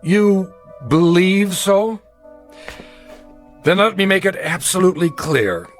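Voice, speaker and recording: An actor playing a haunted older gentleman in a radio drama, recounting his youth in formal, precise, insistent words. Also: high-pitched, expressive, slow. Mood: stern, urgent. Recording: music under the voice. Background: dramatic music.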